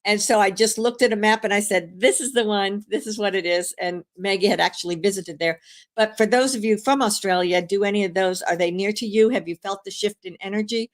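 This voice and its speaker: An older woman, a grandmother, hosting a live stream in rambling, casual chat, hoarse from a cold, with many fillers and restarts. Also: mid-range voice, fairly quick.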